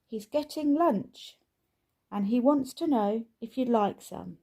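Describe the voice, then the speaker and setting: A woman's voice: on the high side, expressive, takes it slowly, dark tone, husky, voice pushed up, exaggerated voice. A woman doing an eager young daughter. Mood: earnest. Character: sensible, helpful older daughter.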